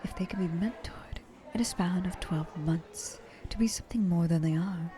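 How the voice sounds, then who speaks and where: Low, mellow flirtatious vampire woman acted by a woman. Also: moderate pace, slight background noise, natural voice. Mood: persuasive. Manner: coaxing, intimate, dropping often into breathy whispers. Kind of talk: ASMR roleplay monologue to the listener.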